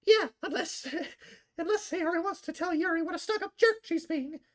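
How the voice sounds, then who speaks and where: High, bratty anime girl. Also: moderate pace. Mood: angry, scornful. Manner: snappy, indignant, taunting. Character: bratty, hot-tempered, cutesy teenage anime girl, sharp-tongued. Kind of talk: visual novel character voicing.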